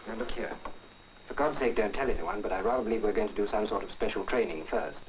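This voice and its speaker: An actor with an upper-class British accent playing a trusting, smitten army officer in 1940s film style, polite and earnest. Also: male voice, lowish voice, fast, lightly acted. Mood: earnest, affectionate. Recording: noisy old film soundtrack.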